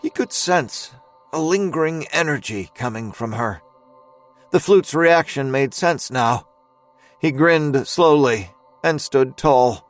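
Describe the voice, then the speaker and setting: A man narrating a scripted dramatic story as its storyteller, in a measured, suspenseful manner. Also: a little high, animated, faint music behind.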